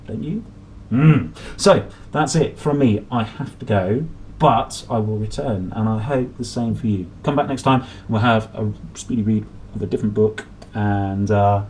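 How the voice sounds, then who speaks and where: A man in his thirties reading a children's picture book aloud, playful and dramatic with drawn-out emphasis. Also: on the low side, darkish tone, a little hiss or hum, low-quality recording. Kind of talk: animated children's story reading. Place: small room at home, at a desk.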